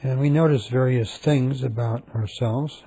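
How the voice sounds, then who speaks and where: A man leading a guided meditation, speaking calmly and softly with long, repetitive pauses. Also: mid-range voice, slightly rough, low-quality recording.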